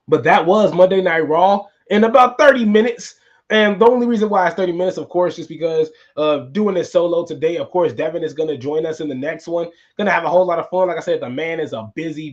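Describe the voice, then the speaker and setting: Male solo podcast host, tired and going hoarse, casual and repetitive, a bit high-pitched, muffled lo-fi recording. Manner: casual, rambling, repeats himself. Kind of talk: solo wrestling recap podcast.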